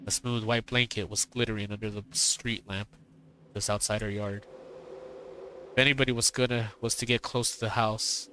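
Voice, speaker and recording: A male streamer reading a visual novel's dialogue aloud, his voice tired and strained, halting and repeating words between laughs. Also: lowish voice, pushed and bright, slight background noise. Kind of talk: reading game dialogue aloud, then casual chat.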